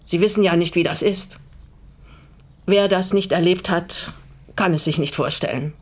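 An actress in a naturalistic radio drama playing an older East German widow who was once a prisoner, speaking deliberately and pointedly. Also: female voice, fairly low pitch, natural voice. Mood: bitter, pointed.

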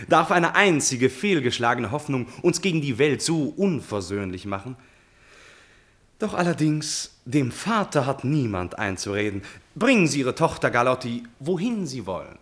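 A suave, self-assured prince in a classical radio drama. His mid-pitched voice rises and falls widely, courtly and theatrical, with a condescending polish. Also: very animated, a little slow, fairly bright tone. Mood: suave, imperious.

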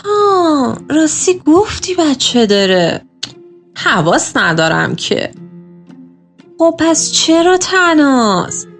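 A woman playing a gossipy, excitable, talkative neighbourhood woman in a nostalgic Iranian radio drama. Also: high-pitched, soft background music. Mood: gossiping. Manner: talkative, dramatic exclamations, colloquial.

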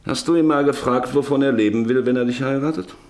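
A man's voice: medium pitch, fast talker, a little mellow, close to an everyday voice. An actor in a German radio drama playing a stern, domineering middle-aged farmer father who speaks bluntly and commandingly. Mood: angry, domineering. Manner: commanding, blunt, cutting others short.

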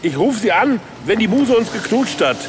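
Brash, grumbling old would-be politician, high lively voice, blunt slangy delivery, satirical radio play. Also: male voice, moderate pace, very noisy. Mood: blunt, defiant. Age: older. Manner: blunt, colloquial. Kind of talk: satirical radio drama acting.

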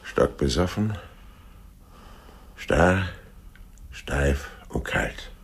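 Tough, world-weary sleuth for crime radio drama: rock-bottom pitch, husky, laconic, dryly sardonic. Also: male voice, lively intonation, drawn-out words, heavily acted. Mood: probing, dry. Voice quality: very deep, gravelly, husky. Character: world-weary, wry, tough male private detective, narrator. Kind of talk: German radio play acting and first-person crime narration.

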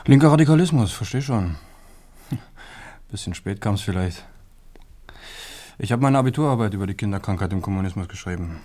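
A young man with a low voice, awkward and laconic. He gives things out in short, dry fragments, in the understated manner of a radio play. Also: expressive, normal speed, pauses often, mellow. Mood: reserved, evasive. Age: young adult. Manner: terse, clipped, matter-of-fact fragments. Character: awkward, laconic young man, student hopeful, unlucky and deadpan. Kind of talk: naturalistic radio drama acting.

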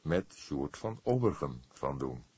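Audiobook part, voiced by a man: elderly male detective, wry and ponderous, deep husky voice, politely modest. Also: sing-song, a little mellow, exaggerated voice. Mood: puzzled. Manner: slow, deliberate, drily modest. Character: old grey-haired male police detective, wry, courteous, ponderous. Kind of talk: Dutch audiobook character voice.

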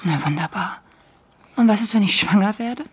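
An actress playing a soldier's young, forthright lover in an intimate radio drama, with a breathy, husky voice. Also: female voice, mid-range voice, animated, moderate pace. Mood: teasing.

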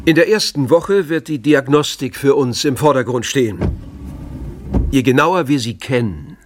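A man's voice: mid-range voice, close to an everyday voice. Man playing a coaxing seminar instructor. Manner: instructive, persuasive. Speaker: actor. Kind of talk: naturalistic radio drama acting.

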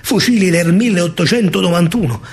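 A man with a Roman accent telling a story in verse as a solo stage actor, theatrical and emphatic, swinging from hushed to loud. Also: high-pitched, a little fast.

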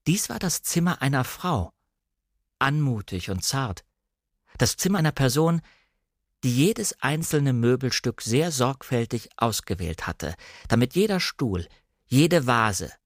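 Male narrator, standard German, medium pitch. Measured, precisely articulated literary storytelling, close and dry studio recording. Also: no echo. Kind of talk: scripted literary narration, audiobook-like radio reading.

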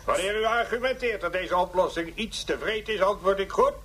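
An actor playing a cantankerous, pompous philosopher who lectures with open contempt in a radio drama. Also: male voice, high voice, fairly quick, very noisy, close to an everyday voice. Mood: sneering. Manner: scornful, pompous.

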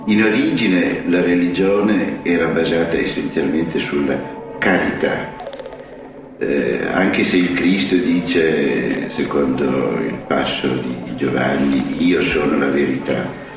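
A man giving a reflective, explanatory talk in a hesitant, deliberate, scholarly manner. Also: very deep voice. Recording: very echoey, distant mic, music behind.

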